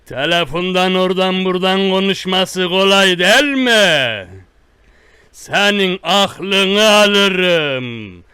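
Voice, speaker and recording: Prank-call menace: man playing a boastful country tough guy, high bright voice, unhurried threats. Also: lively intonation, clean tone, dry recording, exaggerated voice. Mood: menacing. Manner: slow drawn-out threats, repetitive. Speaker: prank caller. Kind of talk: one-sided prank call.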